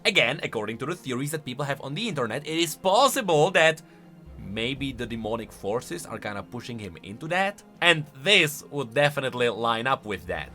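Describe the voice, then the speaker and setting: A man's voice: mid-range voice, big pitch swings, a little fast, pushed and bright, soft background music. A man hosting a game lore channel with casual reaction commentary, musing aloud and leaning hard on key words.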